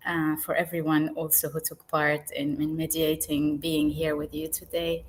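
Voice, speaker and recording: A woman from Lebanon, a podcast guest speaking calmly and reflectively over a video call. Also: deep voice, normal speed, slight background noise, rough recording. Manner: calm, reflective, grateful. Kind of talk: live podcast conversation.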